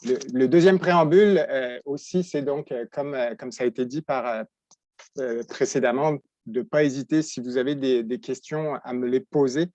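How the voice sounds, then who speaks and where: French-accented man in his forties, webinar lecturer, halting delivery, lo-fi dry call audio. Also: medium pitch, dark tone, no echo. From France. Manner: halting, many restarts and repeated words. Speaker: veterinary professor presenting. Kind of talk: webinar presentation. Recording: dull lo-fi dry sound.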